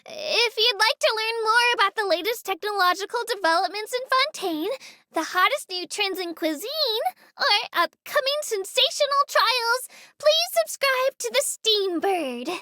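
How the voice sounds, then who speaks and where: A woman's voice: extremely high pitch, lively intonation, a little slow, bright, forceful tone, exaggerated voice. A woman voicing a small, girlish creature tour guide in a game, earnest, polite and a little hesitant as she gives her commentary. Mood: cheerful. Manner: polite, earnest, slightly hesitant, self-effacing.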